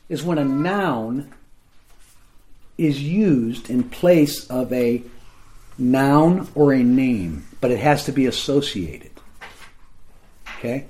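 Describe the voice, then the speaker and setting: A man gives a Bible lesson to a group in a room, his pitch sitting in an ordinary middle range. He talks slowly and deliberately, explaining things plainly and stopping often to make sure his listeners are following. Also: darkish tone. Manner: conversational, explains plainly, keeps checking in with listeners. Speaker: Bible teacher. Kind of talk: verse-by-verse Bible teaching to a live group.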